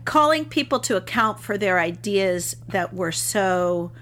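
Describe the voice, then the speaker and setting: A woman with a mid-range voice co-hosts a relaxed faith podcast. She chats freely and jokes easily. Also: mid-range voice, measured pace. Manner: chatty, jokes and laughs easily. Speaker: podcast co-host. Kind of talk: casual chat on faith and scripture.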